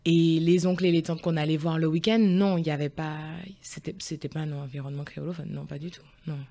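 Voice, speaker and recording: A woman's voice: sits low, flat delivery, fast talker, mellow. A woman in her forties, a guest on a podcast, answering thoughtfully with many hesitations and restarts. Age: 40s. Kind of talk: conversational interview.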